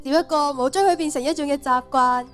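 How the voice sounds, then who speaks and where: A woman's voice: high-pitched, fast, fairly bright tone, soft background music. A teenage girl playing a keen, sincere schoolgirl in a radio drama.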